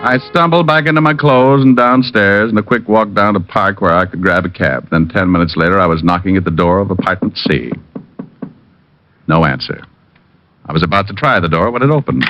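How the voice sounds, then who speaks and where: An American actor playing a wisecracking, world-weary private detective who also narrates a vintage radio drama, flippant and sardonic. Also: male voice, low voice, brisk, a bit bright, faint music behind. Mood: wry, weary narrating. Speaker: lead and narrator. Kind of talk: 1940s-50s radio detective acting and narration. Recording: muffled old radio recording.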